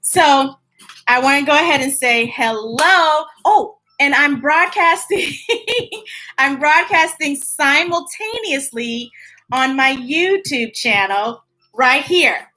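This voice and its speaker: A woman's voice: sits high, expressive, slow, pushed and bright, rough recording. An American woman chatting casually and playfully to young viewers as a children's story time host, stretching words for emphasis.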